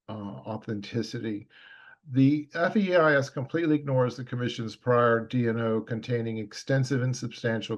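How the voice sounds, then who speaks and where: A man reading prepared public testimony at a government hearing, steady and measured, with frequent fillers and small restarts. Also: medium pitch.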